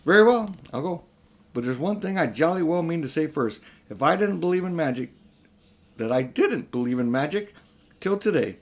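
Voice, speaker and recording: A man's voice: on the high side, animated, normal speed, voice pushed up, natural voice. A man voicing a brave, indignant young schoolboy in a children's audiobook, talking bluntly and defiantly. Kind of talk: children's audiobook character reading.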